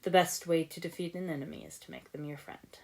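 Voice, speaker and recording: A woman voicing a stern, calm old male martial arts master with dry, formal delivery in a story reading. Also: on the low side, fast, lightly acted. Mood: contemplative, calm.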